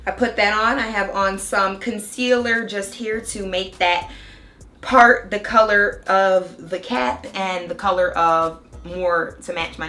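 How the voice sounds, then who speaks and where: A young woman with a bright, forward tone talks her viewers through a wig install, chatty and excited as she explains each step. A cold has left her voice a little raspy. Soft music plays quietly underneath. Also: medium pitch. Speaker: beauty vlogger. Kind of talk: casual hair tutorial and review.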